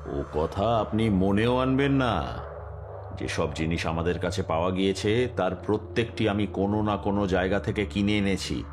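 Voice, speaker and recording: An actor playing a captured bandit chief in an audio detective story, indignantly insisting he is innocent. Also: low voice.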